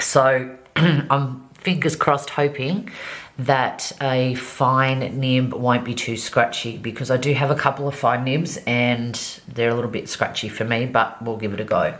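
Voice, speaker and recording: A woman vlogger chatting casually as she decorates her planner, slightly nasal from a cold, with many ums. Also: very deep voice. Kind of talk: casual plan-with-me narration while decorating.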